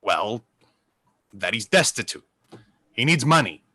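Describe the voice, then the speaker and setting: A man's voice: medium pitch, sing-song, a little slow, sharp tone, no echo, heavily acted. A man playing a genial, pushy Hollywood producer in a play reading, coaxing like a salesman. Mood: persuasive.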